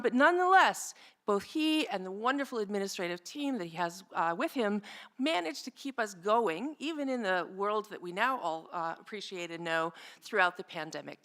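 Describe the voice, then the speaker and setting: A slightly hoarse woman giving an informal tribute speech at an academic event, chatty, with many fillers and asides. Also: medium pitch, fairly bright tone. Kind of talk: informal presentation speech with slides.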